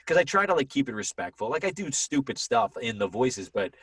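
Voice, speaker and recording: A man's voice: medium pitch, breathy edge, no room sound, far from the mic. A man in his thirties with a Boston accent, a guest voice actor in a casual podcast chat who tells his stories with animation. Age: 30s. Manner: animated storytelling, quick voice switches.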